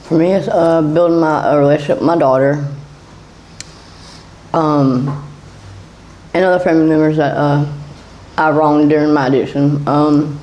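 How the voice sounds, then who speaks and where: A woman in her forties from South Carolina, answering interview questions in a hesitant, halting way. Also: deep voice, fairly even intonation, warm tone, slight background noise, rough recording. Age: 40s. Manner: hesitant, halting with many fillers. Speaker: guest.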